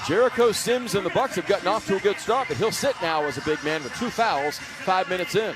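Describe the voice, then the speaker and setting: A man's voice: sits high, lively intonation, brisk, over music. A man with an American accent calling basketball live on radio as play-by-play announcer, in clipped vivid phrases full of catchphrases. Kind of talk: fast live radio sports commentary.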